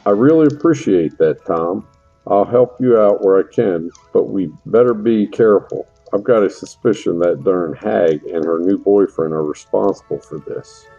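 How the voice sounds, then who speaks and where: A man's voice: deep voice, normal speed, gravelly, slightly rough, narrow, tinny audio, faint music behind, heavily acted. A man playing a folksy, grumbling middle-aged farmer in a fantasy audio drama, fed up and colloquial. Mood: grateful, wary. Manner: folksy, colloquial grumbling with mild country oaths.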